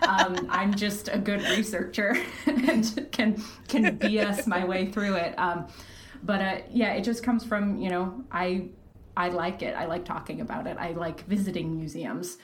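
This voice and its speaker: A woman chatting casually as an interview guest, animated and self-deprecating. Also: medium pitch, unhurried. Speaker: podcast guest, fiction podcast creator and host. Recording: echoey room, faint background noise.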